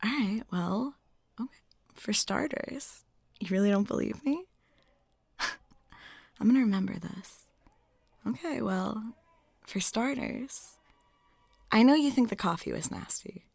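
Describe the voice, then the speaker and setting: A woman plays a flirty, friendly waitress in an intimate one-sided roleplay. Her talk is casual, chatty and giggly, slipping into breathy near-whispers with a creaky fry. The recording is close and dry. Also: on the high side, expressive, slow pace, pauses often, a little mellow, no echo. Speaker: audio roleplay performer. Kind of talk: intimate ASMR-style roleplay monologue.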